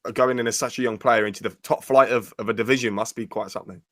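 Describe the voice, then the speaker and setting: A man hosting an interview podcast, asking long rambling questions in a brisk way. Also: mid-range voice, steady tone, a bit bright, dry recording, studio quality. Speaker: podcast host.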